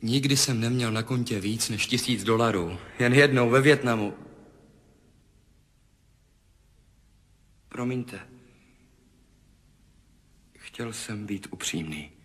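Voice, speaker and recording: An actor playing a man adrift in a dreamlike vision in a 1970s radio play, with a husky voice and an urgent, awed way of talking. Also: medium pitch, pauses often, fairly bright tone. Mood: hushed, pleading. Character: astronaut Tom, adult man, anxious and searching. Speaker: narrating lead character. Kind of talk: 1970s radio drama acting.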